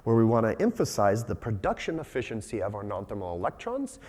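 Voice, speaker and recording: A young man and PhD candidate giving a scientific conference talk, hoarse and raspy from losing his voice, measured with frequent fillers. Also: lowish voice, wildly swooping pitch, darkish tone. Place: lecture hall. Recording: long hall reverb.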